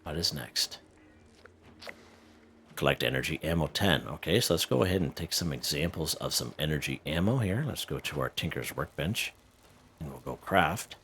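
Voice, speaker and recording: A man hosting a casual game walkthrough, chatty and a little hoarse, who keeps checking in with "okay?" and "right?". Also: rumbling low, expressive, normal speed, fairly bright tone, a little hiss or hum. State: slightly hoarse. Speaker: gaming video host.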